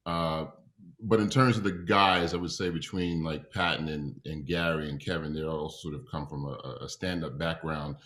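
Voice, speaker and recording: A man telling a casual anecdote haltingly on a video call, peppering it with "like". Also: extremely low pitch, fairly even intonation, fairly bright tone. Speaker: actor, cast member.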